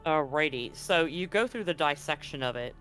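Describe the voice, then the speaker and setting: A man with a slightly high voice runs a tabletop roleplaying session. He describes scenes casually and haltingly as game master, with music playing softly behind him. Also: animated.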